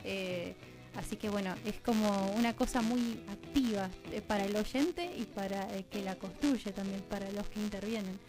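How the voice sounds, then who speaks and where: Woman, Argentine Rioplatense accent, interview guest, discursive, conversational, long chained sentences, middle pitch, background music. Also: moderate pace, poor audio. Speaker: interview guest, radio drama producer. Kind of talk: conversational explanation in a radio interview. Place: radio studio.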